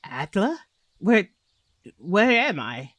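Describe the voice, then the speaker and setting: A woman's voice: medium pitch, big pitch swings, drawn-out words, fairly bright tone, full character voice. A woman playing a weary, troubled noblewoman in a fantasy audio drama, hesitant and formal in speech. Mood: dazed. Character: weary, troubled noblewoman recovering from haunting visions.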